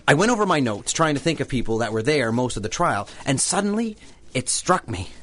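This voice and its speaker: A man's voice: medium pitch, fairly quick. Flustered, swooping-voiced newspaperman. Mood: earnest, excited. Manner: hesitant, stammering, animated. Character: flustered, mild, earnest male newspaper reporter. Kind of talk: 1930s radio serial acting.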